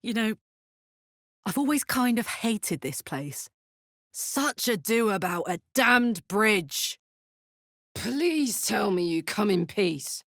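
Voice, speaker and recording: An actress playing a brash, warm-hearted warrior woman in a video game, with an earnest, salty, blunt manner. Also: mid-range voice, lively intonation, slow pace, dry recording, studio quality, exaggerated voice. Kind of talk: video game character voice lines.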